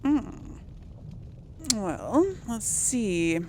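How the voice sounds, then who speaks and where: A woman's voice: mid-range voice, lively intonation, drawn-out words, warm tone, slight background noise. A woman hosting a gaming video with casual commentary, often muttering to herself hesitantly as she talks to viewers. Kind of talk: casual game commentary, talking to the audience.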